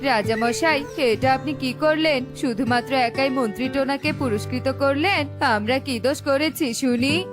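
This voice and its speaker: A resentful crow commander played by a woman complains in a high cartoon voice. He is jealous and indignant, with music behind him. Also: unhurried.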